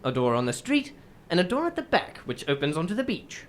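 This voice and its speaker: A woman playing a shrewd, composed English gentleman detective in a radio drama, telling his case in formal, measured phrases. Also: on the low side, very animated. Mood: candid, matter-of-fact.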